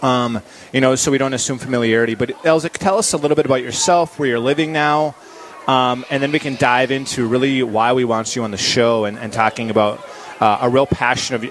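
A man hosting a radio interview from a high school, halting and repeating words as he asks questions. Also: fairly bright tone, slight background noise. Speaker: radio host.

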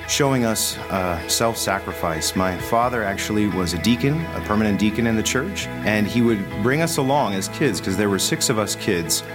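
A man who is a priest, giving a sincere, reflective testimony in a recorded radio spot. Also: fairly low pitch. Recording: spot with guitar music behind.

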